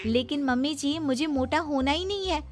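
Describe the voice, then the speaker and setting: Woman voicing young cartoon daughter-in-law, high voice, health-conscious, earnest, polite yet firm, faint music behind. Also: moderate pace, close to an everyday voice. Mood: exasperated, firm. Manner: earnest, reasoning, protesting. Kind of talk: Hindi cartoon story acting.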